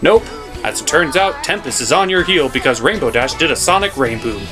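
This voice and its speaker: A man's voice: on the high side, expressive, fairly quick, over music. A man giving a wry, theatrical scripted comedy narration of a film recap. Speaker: host of a comedic puppet-show movie review.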